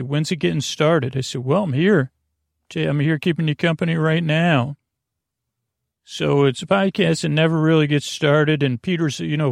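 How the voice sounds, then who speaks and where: A man hosting a podcast, delivering a meandering, halting, self-deprecating bedtime monologue that keeps interrupting itself with digressions. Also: on the high side, vocal fry, no echo, clean studio sound. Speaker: podcast host.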